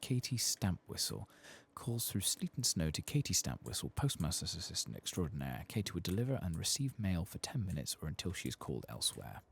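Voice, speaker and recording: A soft-spoken man giving low-key ASMR commentary as he plays a game, muttering to himself. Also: big pitch swings, brisk, gravelly, hardly any pauses, a little hiss or hum. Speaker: gaming video host.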